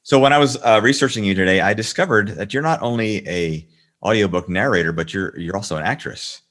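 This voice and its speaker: A man hosting a casual interview, asking halting questions that repeat words. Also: on the low side, a bit bright. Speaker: host.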